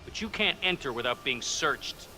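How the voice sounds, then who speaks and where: A man's voice: mid-range voice, lively intonation, moderate pace, sharp tone, soft background music. A man playing a deferential but rule-bound guard in a video game, formal and courteous.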